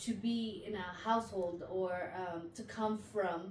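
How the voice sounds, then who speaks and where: A woman co-hosting a podcast asks halting, searching questions. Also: medium pitch, steady tone, a little mellow, far from the mic, slight background noise. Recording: echoey room, off-mic.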